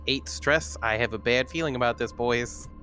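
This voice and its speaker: A man's voice: medium pitch, normal speed, sharp tone. A man taking part in a tabletop roleplaying game, talking casually as a player. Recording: faint music behind the voice. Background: faint music.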